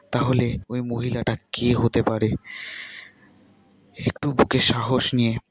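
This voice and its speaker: A man narrating a ghost story in slow, suspenseful, broken phrases, with a husky voice. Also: medium pitch.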